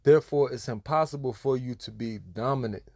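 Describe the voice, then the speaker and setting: A man's voice: mid-range voice, a little fast, a little mellow, dry recording. Admonishing American man with a dry sound. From United States. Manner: admonishing, repetitive, emphatic. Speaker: religious commentator. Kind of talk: preachy reaction commentary.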